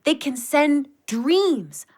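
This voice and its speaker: A woman's voice: sits high, expressive, drawn-out words, darkish tone, full character voice. An actress playing an earnest, loyal, spiritually minded woman friend in a naturalistic audio play, speaking with sincerity. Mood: earnest, wondering. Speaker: voice actor in audio drama.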